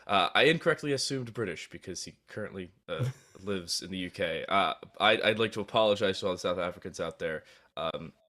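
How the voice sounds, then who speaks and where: A man hosting an esports broadcast as caster, energetic and given to sarcastic asides. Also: low-pitched, fairly quick.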